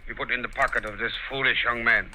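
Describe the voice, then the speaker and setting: A man's voice: mid-range voice, fairly even intonation, fast, bright, forceful tone. A man playing a cold, scheming foreign spy who speaks clipped, broken English, in 1940s radio acting style. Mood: menacing. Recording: dull old radio recording.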